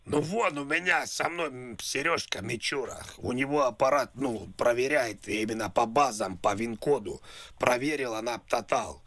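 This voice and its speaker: A man on a prank call, talking in a halting, repetitive way like a naive, confused customer. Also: mid-range voice, a bit bright, no room sound. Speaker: prank caller. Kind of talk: prank phone call, rambling improvised talk.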